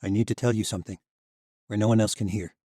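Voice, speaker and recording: A man's voice: on the low side, steady tone, fast, mellow, no room sound, clean studio sound, lightly acted. A man voicing a weary, haunted young man who speaks hesitantly and pleads, in an audiobook. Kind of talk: audiobook character voice.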